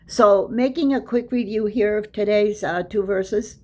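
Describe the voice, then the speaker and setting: A woman's voice: medium pitch, a little slow, warm tone. A woman giving a casual religious lesson, explaining verses and reciting Arabic. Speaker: Quran teacher.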